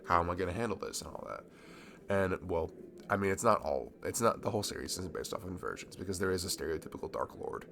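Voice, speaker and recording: A man's voice: deep voice, quick pace. A man playing a shy, nerdy young man in a romantic ASMR roleplay, rambling and stammering toward a longtime friend. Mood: giddy, nerdy. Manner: rambling, hesitant, stammering, nervous laughs. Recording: clearly audible background noise.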